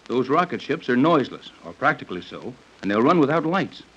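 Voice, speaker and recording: A man playing a steady, fatherly American captain in a 1930s radio serial, earnest and reassuring. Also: mid-range voice, a little fast, husky. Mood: grave. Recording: dull lo-fi 1930s radio recording.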